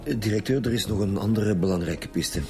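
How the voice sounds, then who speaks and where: An actor playing a gruff, stubborn veteran chief inspector with a Flemish accent in a radio drama, blunt and irritable. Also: male voice, fairly low pitch, brisk, music in the background, close to an everyday voice. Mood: businesslike.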